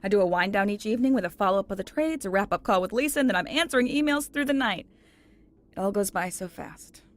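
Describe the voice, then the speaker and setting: An actress playing a brisk, overworked, hyper-organised account executive in a comedic audio drama, businesslike and eager. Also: female voice, mid-range voice, expressive, close to an everyday voice. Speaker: voice actor.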